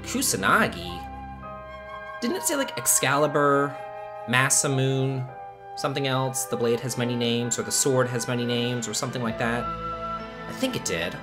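A middle-aged man hosts a casual let's play, gushing and thinking out loud. His intonation swings widely over clearly audible music. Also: mid-range voice, animated, moderate pace. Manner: gushing, exclamatory, thinks aloud. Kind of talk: casual gameplay commentary.

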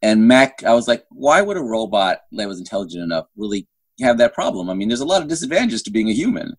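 A man's voice: on the low side, very animated, quick pace, no room sound. A middle-aged man chatting casually as an interview guest, rambling and often restarting his sentences mid-thought. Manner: rambling, self-interrupting with repeated false starts. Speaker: guest novelist. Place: indoor room at home. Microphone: phone at arm's length on a video call.

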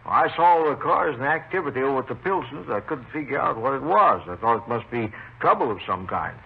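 An actor playing a garrulous, folksy, meticulous retired railroad engineer who carves wood, in a 1950s radio drama. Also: male voice, mid-range voice, expressive, brisk, creaky, slightly rough, full character voice. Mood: chatty, genial. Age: older. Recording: noisy old radio recording, narrow phone-like band.

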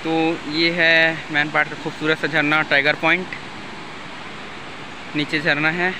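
A man's voice: a little high, level tone, unhurried. A young man from India narrating a casual travel vlog, talking into a phone outdoors. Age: young adult. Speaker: vlogger. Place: outdoors at a forested waterfall. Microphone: phone at arm's length. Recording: outdoor wind and waterfall noise.

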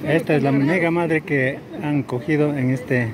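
A man's voice: a little high, fairly even intonation, a little slow, a loud crowd. A man, hosting a casual outdoor travel vlog in a tour-guide style. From Ecuador. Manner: upbeat, tour-guide style, inviting viewers. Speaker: vlogger host. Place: rural riverside hills outdoors. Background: music.

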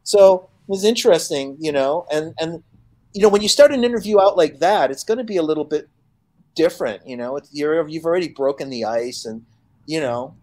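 A fairly high-voiced man in his fifties from Chicago, speaking from a room at home over a video call. He tells stories as an interview guest, slips into quoted voices and fills gaps with lots of "you know". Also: a little fast. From Chicago, United States. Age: 50s. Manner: animated storyteller who acts out quoted voices, many fillers.

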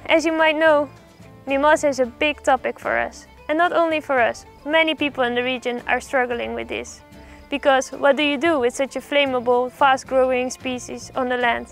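Upbeat high-voiced woman with gravelly edge. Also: fairly even intonation, moderate pace, faint music behind. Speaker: vlog host. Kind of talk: narrated project vlog.

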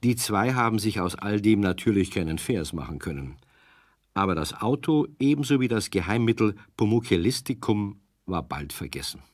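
A man tells a children's story in a lowish voice that moves up and down as he goes. The delivery has an easy storytelling cadence. The sound is dry and close. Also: lively intonation, normal speed, no room sound. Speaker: narrator.

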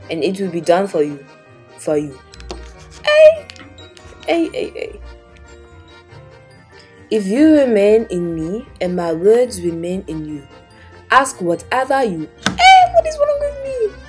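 Playful woman teaching kids, lively pitch. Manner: playful, puts on silly accents. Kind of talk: playful scripted kids lesson.

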